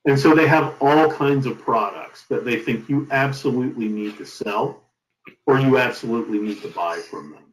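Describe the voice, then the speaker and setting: A middle-aged man being interviewed as a company founder, giving advice in an emphatic, deliberate conversational way. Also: medium pitch. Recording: roomy, echoing sound.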